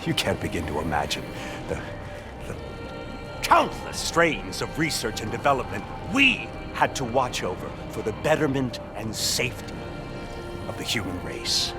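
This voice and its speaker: An actor playing a defiant, self-righteous security official in a video game, lecturing emphatically and with contempt. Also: male voice, fairly low pitch, very animated, normal speed. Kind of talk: dramatic video game acting. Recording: clear music behind the voice.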